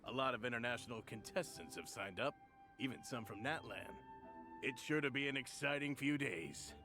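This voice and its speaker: A man's voice: mid-range voice, expressive, normal speed, over music, natural voice. A man voicing a courteous, formal knight officer in a video game. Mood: pleased. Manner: formal, polite.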